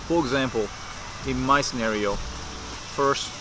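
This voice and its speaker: A young man from Japan with a Japanese accent, casually talking to camera as a vlogger, in a relaxed, unhurried way, pausing to think with fillers. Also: mid-range voice, noisy background.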